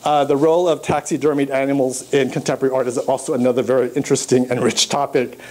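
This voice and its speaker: A man born in Burma giving an academic conference talk on art, pausing often with uh and um as he walks through his slides. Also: mid-range voice, little pitch movement, a little mellow. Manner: halting, many uh and um fillers, pointing to slides. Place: lecture room at a conference.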